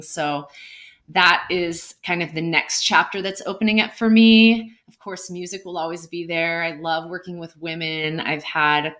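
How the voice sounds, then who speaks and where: A woman musician from California talking in a gentle, earnest way over a video call, laughing easily. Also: deep voice. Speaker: musician guest. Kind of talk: singing then casual chat. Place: home, at a window with trees outside.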